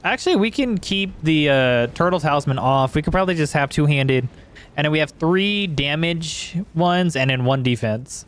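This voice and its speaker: A man with a slightly hoarse voice, chatting casually and haltingly while livestreaming a game. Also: on the high side, lively intonation. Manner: rambling, halting, self-correcting. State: hoarse from singing.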